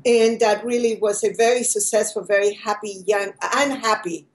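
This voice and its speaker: A woman's voice: medium pitch, steady tone, normal speed. Older lady from chile on a video call, earnest, thoughtful storytelling. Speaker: guest. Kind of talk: conversational interview over video call.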